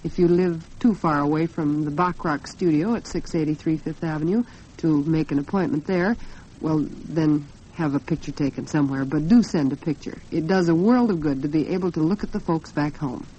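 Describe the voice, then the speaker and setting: A woman's voice: low-pitched, normal speed, warm tone. An American woman hosting a 1940s radio talk program, speaking conversationally and straight to her listeners. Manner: conversational, addresses listeners directly. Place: radio studio. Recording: muffled, noisy 1940s radio broadcast recording.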